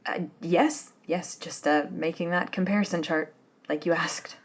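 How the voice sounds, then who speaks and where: A woman playing an eager, polite female office and lab assistant in a soft-spoken ASMR roleplay, with a breathy, murmuring, slightly hesitant delivery. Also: fairly low pitch, steady tone, measured pace. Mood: eager, deferential. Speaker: voice actor in scripted ASMR roleplay.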